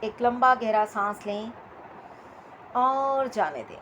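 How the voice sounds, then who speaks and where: A woman leading a guided meditation as instructor, with soothing, repetitive phrasing and pauses between short instructions. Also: a little high, sing-song, fairly bright tone, slight background noise, low-quality recording.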